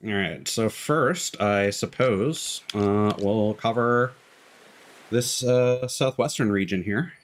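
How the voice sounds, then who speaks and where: A man livestreaming a video game, chatting casually in a rambling, halting way with long pauses. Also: lowish voice, a little hiss or hum. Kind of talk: casual chat while playing a game. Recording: faint game music behind. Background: game music.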